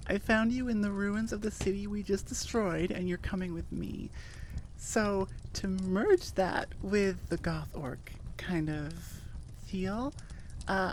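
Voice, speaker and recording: A woman talks casually to the listeners of her audio channel. Her delivery is informal and rambling, with a self-effacing manner. A faint noise sits behind the voice. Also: mid-range voice. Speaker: audio roleplay creator. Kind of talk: casual, chatty aside to listeners.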